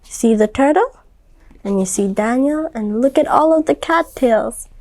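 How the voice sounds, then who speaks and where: A woman reads a storybook aloud for small children in a fairly high voice. She goes very slowly, explains things in simple phrases and often asks the listener questions. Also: animated, a little mellow. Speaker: volunteer children's book reader.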